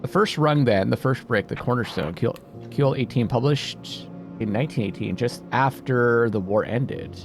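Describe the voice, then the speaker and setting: A man doing casual let's play commentary, thinking out loud and reading game text aloud. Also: mid-range voice, moderate pace. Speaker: video game player and host. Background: atmospheric game music.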